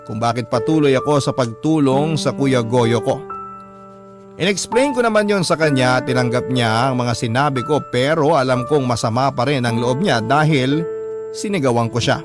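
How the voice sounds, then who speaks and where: A man's voice: medium pitch, close to an everyday voice. A man playing a remorseful young Filipino husband, confiding his story as scripted radio drama narration. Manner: confiding, regretful. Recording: prominent music bed behind voice.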